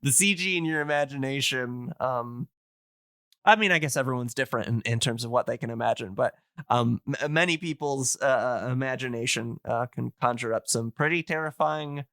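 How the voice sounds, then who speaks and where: A man co-hosting a casual podcast discussion, explaining things in a halting, digressive way. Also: mid-range voice, gravelly, bright, forceful tone, dry recording, clean studio sound. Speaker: podcast co-host explaining radio history.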